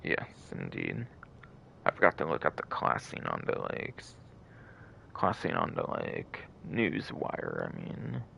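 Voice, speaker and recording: A man's voice: a bass voice, wildly swooping pitch, a little slow, vocal fry, pushed and bright, muffled recording, a little hiss or hum. A man hosting a game livestream with casual commentary, rambling and often repeating and second-guessing himself.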